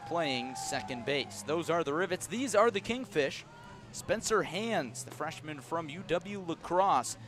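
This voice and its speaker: A man's voice: mid-range voice, fairly bright tone. A man with an American accent calling a baseball game live on radio from an outdoor ballpark, mixing lineup announcements with casual banter.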